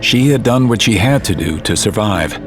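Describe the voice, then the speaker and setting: Male narrator, low voice, measured; music bed underneath. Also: expressive. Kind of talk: scripted audiobook narration.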